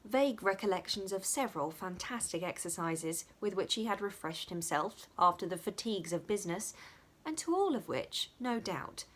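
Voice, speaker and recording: A woman's voice: mid-range voice, a bit bright. A woman reading a story as dramatic scripted narration. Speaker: audiobook reader.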